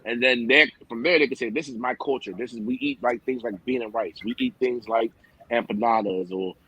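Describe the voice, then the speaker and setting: A man's voice: mid-range voice, a little fast, sharp tone, husky, lo-fi sound. A man talking in an emphatic, slangy way as a co-host of a casual talk show. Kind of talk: casual profane chat.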